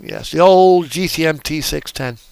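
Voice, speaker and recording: A man's voice: a little high, moderate pace, creaky, a little mellow, clean tone, no room sound. A man giving casual, unscripted commentary on a driving game, rambling and thinking aloud as he goes. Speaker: gaming video host.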